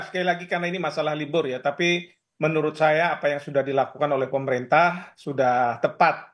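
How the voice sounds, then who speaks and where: A man giving expert analysis in an interview, explaining in a halting way. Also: on the high side, a bit bright. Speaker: expert guest, professor.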